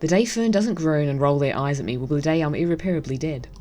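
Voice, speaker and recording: Low, mellow woman presenting a game. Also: fast talker. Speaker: gaming video host. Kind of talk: casual game commentary and read-aloud narration.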